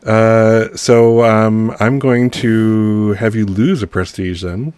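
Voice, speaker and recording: A man running a tabletop roleplaying game as game master. He describes scenes and hands out rulings in a relaxed, easygoing way. His voice sits fairly low. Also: slow, clear, resonant tone, no room sound.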